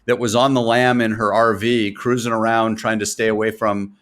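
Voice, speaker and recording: A man hosting an interview show in a relaxed, rambling way, openly bad at remembering names. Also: lowish voice, moderate pace. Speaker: podcast host. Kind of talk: casual chat.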